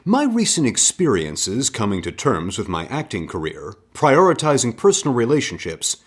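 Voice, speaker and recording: A man's voice: mid-range voice, wildly swooping pitch, normal speed, breathy edge. A man playing a pompous, serenely smug actor-turned-spy in an audio comedy drama, with flowery theatrical delivery.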